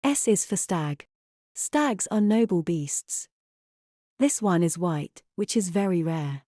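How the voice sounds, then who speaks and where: A woman playing a gentle, composed young English mother, speaking in a measured way in an audio drama. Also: on the low side, animated, dry recording, studio quality. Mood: gentle reading. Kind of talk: audio drama acting, reading aloud.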